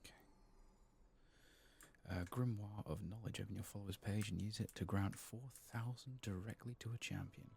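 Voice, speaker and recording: A man hosting a gaming video in a soft-spoken ASMR style, sometimes whispering, murmuring his thoughts unhurriedly as he plays. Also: on the low side, steady tone, vocal fry, slight background noise. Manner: murmured, unhurried musing to himself.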